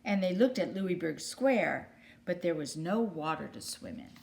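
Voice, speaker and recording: Lower-voiced woman reading a children's story. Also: lively intonation, a little slow, creaky, a little mellow. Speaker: storybook reader. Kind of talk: read-aloud children's story narration.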